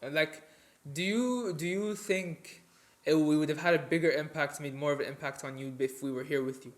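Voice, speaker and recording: Higher-pitched young man, earnest. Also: moderate pace. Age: young adult. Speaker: podcast co-host. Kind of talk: casual sibling podcast chat.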